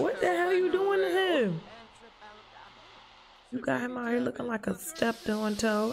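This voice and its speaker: A young woman livestreaming a life-sim game, chatting casually with her viewers in a lively, joking, profane way on a headset mic. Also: a little high, creaky. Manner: lively, joking, talks back to chat, profane slang. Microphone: headset mic at desk. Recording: game music playing behind.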